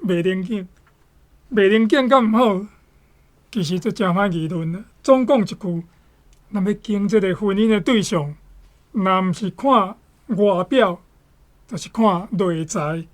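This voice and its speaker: A woman's voice: medium pitch, unhurried, long pauses. A woman with a Taiwanese accent giving conversational commentary.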